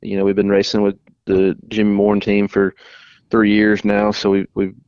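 Man, young adult, racing guest, relaxed halting talk, dry and slightly muffled sound. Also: fairly low pitch, clean tone, no room sound. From North Carolina. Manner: relaxed, halting, many restarts. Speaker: race car driver guest. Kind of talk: casual interview answers.

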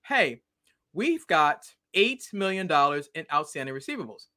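Bright, animated woman guest. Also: low-pitched, moderate pace. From Boston, USA. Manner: playful, chatty, animated storyteller. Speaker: guest expert. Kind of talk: casual storytelling interview.

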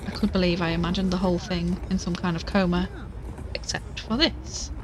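A woman's voice: fairly low pitch, little pitch movement, fairly quick, noisy background, lightly acted. A woman playing a shaken, homesick investigator, giving hesitant, downcast testimony in an audio drama monologue. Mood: quiet, weary. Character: shaken, homesick female investigator recounting her ordeal.

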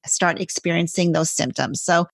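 A podcast host, a woman from the United States with a somewhat low voice. She asks curious questions and sums up the answers to check them, in a dry, close recording. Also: normal speed, vocal fry, no echo. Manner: curious, summarizes and checks her understanding. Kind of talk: interviewing.

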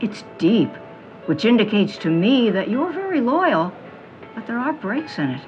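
Mystic fortune teller: female, knowing, teasing, very animated, audio drama, music bed. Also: a little high, highs cut off. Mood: soothing, knowing. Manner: theatrical, honeyed pet names, dramatic pauses. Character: mysterious middle-aged woman fortune teller, theatrical, knowing, teasing.

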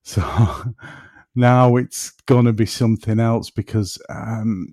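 A man's voice: fairly low pitch, slow, darkish tone, no echo, clean studio sound. A man from North West England with a northern English accent, from between Manchester and Liverpool, chatting casually as a podcast guest and rambling off topic. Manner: rambling, self-deprecating, drifts off topic. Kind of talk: casual chat.

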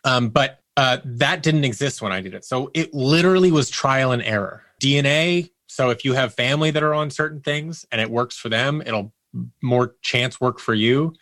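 A man's voice: mid-range voice, normal speed, fairly bright tone, no echo. A man speaking as an interview guest, candidly telling personal stories in a conversational way and acting out quotes as he goes.

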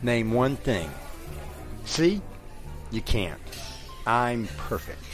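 A man's voice: mid-range voice, sing-song, very slow, music in the background. A man playing a smug, confident lawyer in an audio drama, teasing and probing as a fiancé. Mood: smug, insistent. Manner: self-assured, teasing. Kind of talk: scripted audio drama acting.

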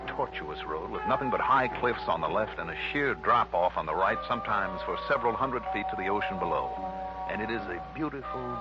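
An actor playing a wry, brisk American insurance investigator in a crisp, dramatic 1960s radio drama style. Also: male voice, lowish voice, natural voice. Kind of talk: 1960s radio drama, first-person hard-boiled narration. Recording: dull lo-fi old radio recording, music behind.

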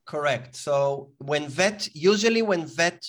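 A man from Israel with an Israeli accent, a company founder explaining his product as a guest in an interview, pausing with fillers. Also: a little high, animated. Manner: explanatory, halting with fillers and restarts. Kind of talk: interview answers.

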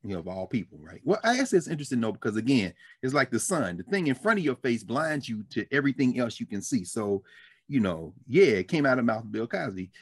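Animated man with a husky voice. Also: quick pace, no echo, studio quality. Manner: animated storyteller, laughs easily, ranges from loud bursts to low asides. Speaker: podcast co-host. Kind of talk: casual conversational discussion.

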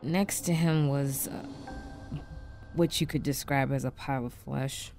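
A woman playing a shaken, compassionate wife in an audio drama, with halting, stammering, hushed delivery. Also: very deep voice, fairly even intonation. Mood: uneasy recounting. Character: shaken, compassionate wife trapped in frozen time. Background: background music.

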